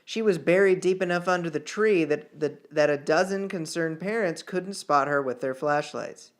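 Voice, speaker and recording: A man's voice: on the high side, moderate pace. Man reading a novel aloud. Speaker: author reading own novel chapter. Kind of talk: audiobook-style fiction narration.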